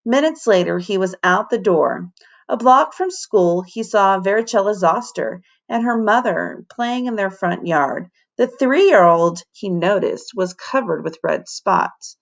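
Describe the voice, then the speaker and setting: A woman narrating a children's story as a teacher reading aloud, animated and dramatic. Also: medium pitch, unhurried, creaky.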